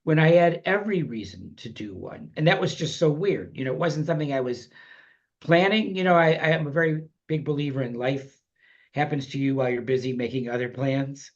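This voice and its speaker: A man talks through his thoughts in a loose, meandering way, often circling back and restarting his sentences. His pitch sits in the middle range. The sound is a bit muffled and lo-fi, like remote call audio. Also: brisk. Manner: reflective, rambling, many you-knows and restarts. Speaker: podcast guest. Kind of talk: casual interview chat.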